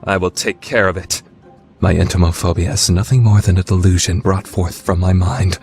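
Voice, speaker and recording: A man's voice: low-pitched, fairly even intonation, moderate pace, a little mellow, soft background music. A man playing a proud but panicky teenage student council president in an anime radio play, melodramatic in delivery. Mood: grave resolve. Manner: melodramatic, swinging from grave to yelping. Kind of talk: anime fan dub radio play acting.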